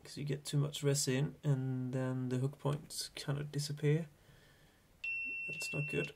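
A man in his thirties with a Swedish accent, a Swedish native speaker explaining fly tying in a relaxed, hesitant way from a small home workshop. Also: mid-range voice, measured pace, gravelly, background noise. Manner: relaxed, hesitant, thinking aloud. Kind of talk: casual tutorial narration.

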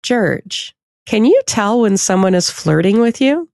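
A woman's voice: medium pitch, very animated, unhurried, gravelly, a little mellow, dry recording, studio quality. A woman hosting a podcast in a casual, chatty interview style. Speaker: podcast host.

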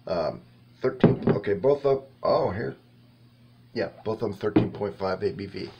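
A man in his fifties giving a casual, halting tasting review to camera, rambling and thinking aloud in a small indoor room. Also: on the low side, muffled recording, heavy background noise. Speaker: wine reviewer and host.

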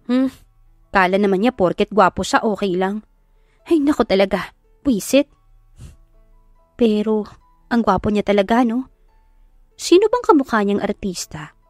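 A woman voicing a feisty young eldest sister in an audio drama, sassy, grumbling and expressive. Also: mid-range voice, measured pace, long pauses, no echo, clean studio sound, faint music behind, natural voice. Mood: grumbling, smitten.

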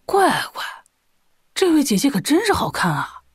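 A cheeky teenage swordsman, played by a woman, rather high and very animated. The boy talks in impish exclamations, recorded dry with faint music, in the manner of a martial-arts audio drama. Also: normal speed, dry recording. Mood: cheeky awe. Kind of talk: Chinese wuxia audio drama acting.

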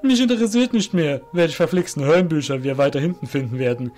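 A man playing an irritable, authoritative master of a shadow guild, speaking loudly and emphatically. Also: a little high, expressive, fast talker, soft background music, natural voice. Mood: stern, annoyed.

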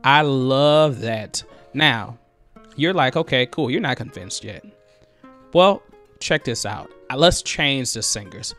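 A man in his thirties, an excitable, conversational host walking through music software in a home studio on a headset mic. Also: mid-range voice, slow. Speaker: music producer, tutorial host. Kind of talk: screen-share software tutorial. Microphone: headset with boom mic.

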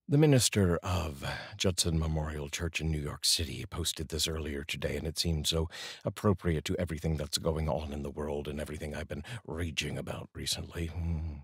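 Deep, very husky middle-aged man. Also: no echo, clean studio sound. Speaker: host and voice-over reader. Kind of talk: solemn, measured poetry reading and personal address to viewers. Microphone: large studio microphone, up close.

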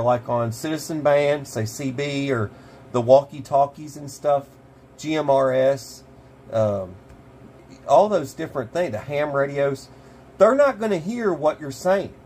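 A man's voice: medium pitch, darkish tone. American man, casual gear demo, noisy recording. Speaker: host of a prepper gear channel. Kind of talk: casual product demo to camera. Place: small cluttered indoor room.

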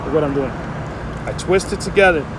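A man's voice: animated, moderate pace. A man working as a trade instructor outdoors, giving blunt, repetitive hands-on directions to a trainee, recorded on a phone. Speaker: electrician supervisor and instructor. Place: open commercial rooftop outdoors. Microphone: handheld phone camera. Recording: noisy outdoor phone video, ambient rumble.

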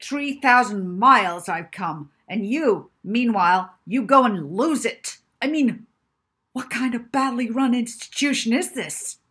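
Lady doing an angry gruff american professor guy, loud and stammering, storybook villain style. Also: a little high, expressive, a little slow, pitched above the performer's own voice, exaggerated voice. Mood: furious, loud. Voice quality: gruff, bellowing. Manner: indignant, stammering outrage. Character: gruff, furious, blustering American male professor.

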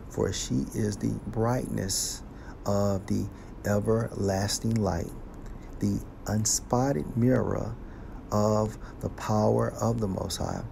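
A man teaching scripture, reading verses aloud slowly and explaining each one with a deliberate delivery. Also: lowish voice, creaky, noisy background. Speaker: Bible teacher.

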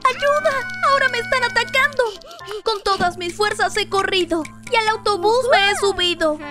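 A woman voicing an excitable young lion cub in a children's cartoon, speaking singsong rhymed lines with exclamations. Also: extremely high pitch, normal speed. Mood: alarmed, then excited. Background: music.

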